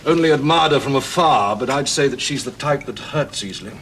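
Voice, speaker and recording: An actor playing a genial, measured British building manager, conversational and understated, in a 1970s television drama. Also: male voice, mid-range voice, a bit bright, close to an everyday voice. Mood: confiding. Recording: noisy 1970s television soundtrack.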